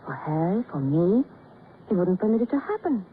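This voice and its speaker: Thin, lo-fi vintage radio sound with faint noise frames an earnest young woman with a foreign accent. She speaks with formal, deliberate phrasing and a melancholy air, in classic radio drama style. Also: fairly low pitch, very animated. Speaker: actress in radio crime drama. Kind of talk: 1940s-50s radio acting. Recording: muffled, faintly noisy old radio broadcast.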